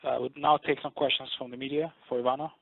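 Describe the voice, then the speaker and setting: Flat-toned man moderating over phone. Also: mid-range voice, fast, gravelly, no echo. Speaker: press call moderator. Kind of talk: semi-formal introductions and moderating. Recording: narrow phone conference line.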